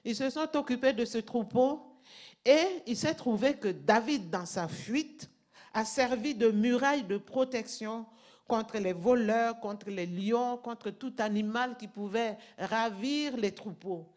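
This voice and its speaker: Woman preacher, non-native French speaker, slow deliberate delivery, emphatic sermon. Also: a little high. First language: not French. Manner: slow, emphatic, rising to loud passages. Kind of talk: sermon with Bible reading.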